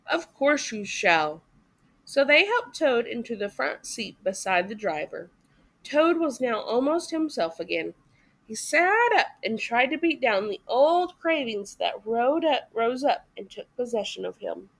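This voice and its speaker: A woman reading a story aloud as expressive narration for children. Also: on the high side, a little slow, vocal fry, low-quality recording. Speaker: story reader.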